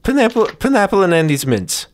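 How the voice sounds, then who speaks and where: A man co-hosting a podcast in casual comedic chat, halting and trailing off with a mock-earnest manner. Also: high voice, big pitch swings. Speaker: podcast co-host.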